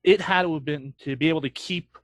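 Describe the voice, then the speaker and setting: A man's voice: fairly high pitch, fast talker, no echo. A man on an interview panel, casually putting together a question.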